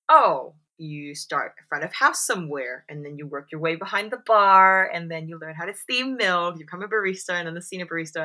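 Low-pitched, bright-toned chatty woman. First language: English. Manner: chatty, many fillers and "like"s; acts out quoted voices. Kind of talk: casual chat.

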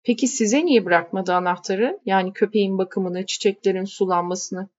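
A woman's voice: medium pitch, normal speed, darkish tone, highs cut off, natural voice. A woman voicing a polite, curious and somewhat timid young woman. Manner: polite, questioning.

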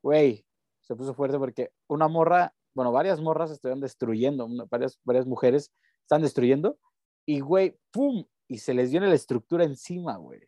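A man's voice: mid-range voice, normal speed, warm tone, no room sound, clean studio sound. A young man with a Mexican accent, co-hosting a podcast in slangy, rambling casual chat. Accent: Mexican Spanish. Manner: slangy, rambling, breaks into bits of song. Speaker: podcast co-host.

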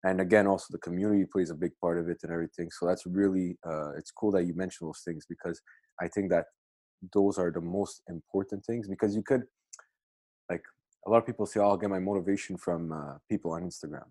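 A man's voice: sits low, steady tone, quick pace, warm tone, no echo. A man hosting a podcast in casual chat, rambling with lots of fillers and restarts. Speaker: podcast host.

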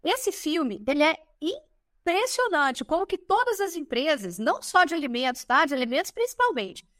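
Chatty high-voiced Brazilian woman. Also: moderate pace, no room sound, clean studio sound. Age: 30s. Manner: lively, chatty, tags sentences with "né?". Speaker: podcast guest. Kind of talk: casual chat.

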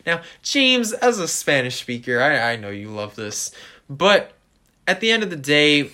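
A man co-hosting a casual music podcast, talking to listeners directly in an animated way and leaning on stock phrases. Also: on the high side, measured pace. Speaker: podcast co-host.

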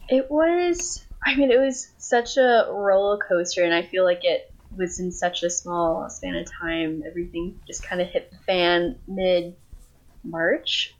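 A young woman from Thailand talks loosely over a video call from her family's home. Her voice sits fairly low, and she rambles along with fillers and restarts. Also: fairly even intonation, a little slow, highs cut off. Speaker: guest. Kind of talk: casual remote interview.

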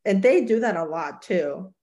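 A woman's voice: medium pitch, animated, normal speed, warm tone. A woman from Chile, a podcast guest chatting casually, laughing easily and dropping Spanish words into her English. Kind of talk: casual interview chat.

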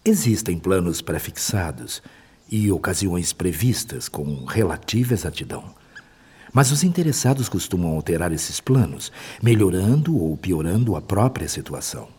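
A solemn male spirit guide in a radio play, teaching in measured phrases. The voice is very rough and breathy, mellow and low, with expressive rises and falls. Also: exaggerated voice. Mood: solemn, instructive. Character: wise, instructive male spirit guide explaining moral laws. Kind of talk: radio drama acting, didactic.

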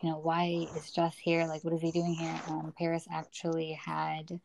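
A woman's voice: sits low, monotone, moderate pace, vocal fry, a little mellow, slight background noise, rough recording. A young woman co-hosting a podcast, chatting casually and a little hesitantly with plenty of "like" and "you know". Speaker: podcast co-host. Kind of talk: casual TV recap discussion.

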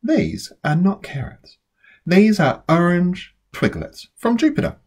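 Young storybook big brother, played by a woman, playful and patient, husky edge, unhurried. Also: lowish voice, huge pitch swings, darkish tone, exaggerated voice. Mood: persuasive, sly. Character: clever, patient older brother, a young boy. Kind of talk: storybook character voice.

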